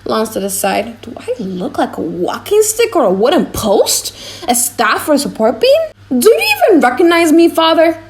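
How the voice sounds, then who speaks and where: A woman acts out a young male servant in an audiobook play. He is cocky and full of mischief. The voice is on the high side, with a teasing, mock-grand way of chattering. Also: huge pitch swings, normal speed, heavily acted. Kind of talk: dramatized audiobook reading.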